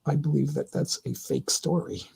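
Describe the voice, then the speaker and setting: A middle-aged man hosting his own livestream, talking casually and answering chat in a rambling, opinionated way with many halting restarts. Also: on the high side, gravelly, darkish tone, husky. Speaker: livestream host.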